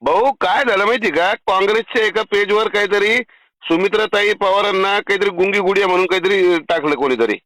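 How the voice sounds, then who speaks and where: A man's voice: high-pitched, a little fast, a bit bright, no echo, rough recording. A man with a Marathi accent from Maharashtra, blunt, foul-mouthed and insistent in a phone conversation. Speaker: caller making political complaints.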